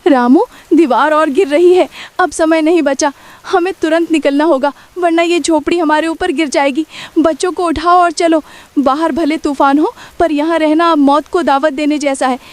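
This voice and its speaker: A woman's voice: high voice, steady tone, moderate pace, natural voice. A woman playing a poor, caring and protective village mother in a cartoon story. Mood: urgent, afraid.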